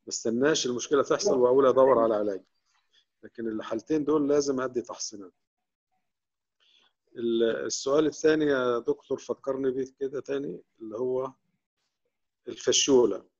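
A man with an Egyptian Arabic accent, a veterinary professor answering questions during an online lecture with many quick restarts. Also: medium pitch, darkish tone, husky. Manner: explanatory, rapid, with frequent restarts. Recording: dull, lo-fi online call audio.

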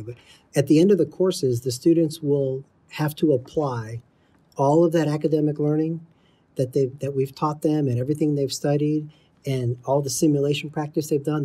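A man's voice: mid-range voice, dark tone, breathy edge. A middle-aged man explaining training methodically, as an instructor answering interview questions. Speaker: air traffic control instructor, interview guest.

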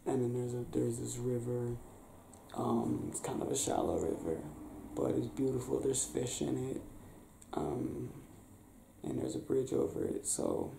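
A young man speaks softly and slowly in an intimate ASMR boyfriend roleplay. His delivery is affectionate and playful, with halting, improvised phrasing and plenty of fry in the voice. It is recorded in a bedroom with some room sound and a faint noise. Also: medium pitch, echoey room. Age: young adult. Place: small indoor bedroom, lying on a bed.

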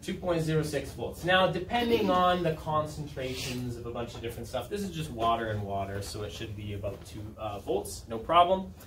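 A man's voice: mid-range voice, animated, a little fast, hardly any pauses. Roomy, distant male classroom teacher. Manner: informal, conversational, checks in with students. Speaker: high school chemistry teacher. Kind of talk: classroom lesson, asking students questions. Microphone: camera set back in the room. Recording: roomy, distant camera mic, room noise.

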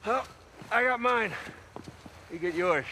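A brash, high-pitched thief. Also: male voice, animated, slow, pushed and bright, slight background noise, exaggerated voice, low-quality recording. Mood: cocky, excited.

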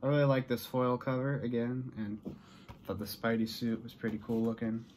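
A young American man talks casually to the camera in a medium-pitched voice. He rambles a little and lets thoughts trail off, like an unscripted haul video. It was recorded in a small room at arm's length, with clear noise behind him. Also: level tone, normal speed, darkish tone. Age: young adult. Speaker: vlogger showing a collection haul. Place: small bedroom, indoors.

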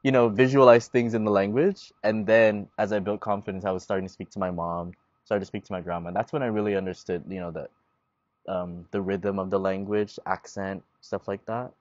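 A man in his twenties, a guest in a relaxed outdoor panel talk, explaining at length and gesturing as he speaks. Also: fairly low pitch, brisk, dry recording, dull audio. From Guam. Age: 20s. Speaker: guest, Chamorro language learner. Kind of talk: casual panel conversation. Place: outdoors at a table in a leafy garden. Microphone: lapel mic.

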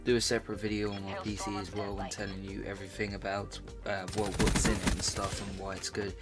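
A man's voice: fairly low pitch, little pitch movement, fast talker. A young British man hosting a gaming channel, chatting casually and rambling with many fillers. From Britain. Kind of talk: casual chat over gameplay footage. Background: loud game music and gunfire.

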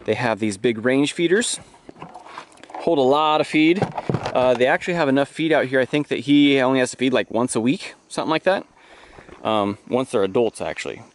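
Man in his thirties, mid-pitched voice, casual tour narration, loosely explanatory, outdoor camera, faint noise. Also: fairly quick. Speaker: farm tour vlogger. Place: outdoors in farm pens. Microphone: handheld action camera at arm's length.

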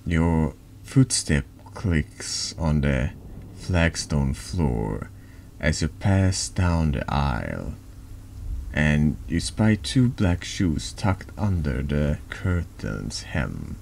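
Man, bottomless low voice, gravelly, halting horror ASMR narration, faint background noise. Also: sing-song, a little mellow. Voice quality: deep. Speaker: vtuber reading a text game aloud.

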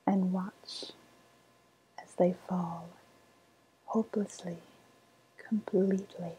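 A woman playing a sly, cooing woodland witch with a faint menace, in a breathy, softly spoken ASMR roleplay whisper. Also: lowish voice, fairly even intonation, full character voice, rough recording. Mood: savouring, confiding. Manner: slow, savouring, coaxing delivery.

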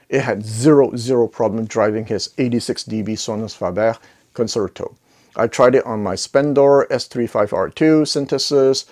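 A middle-aged man presents a review in a conversational style. His pitch is average for a man, and he leans on key words, repeating them for emphasis. He speaks into a clip-on mic. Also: a little mellow. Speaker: host of an audio gear review channel. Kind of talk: casual product review to camera. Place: living room listening space with acoustic wall panels. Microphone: clip-on lapel mic.

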